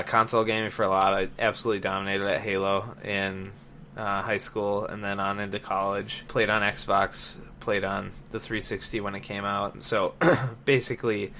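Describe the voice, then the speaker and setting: Man, late twenties, bright tone, casual podcast chat, faint noise behind. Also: on the low side. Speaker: podcast host. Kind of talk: casual chat about gaming.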